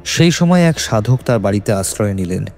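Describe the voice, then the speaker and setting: A man with a Bengali accent narrates a horror tale in a fairly deep voice. His delivery is scripted, dramatic and suspenseful, with faint music underneath. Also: lively intonation, brisk, darkish tone.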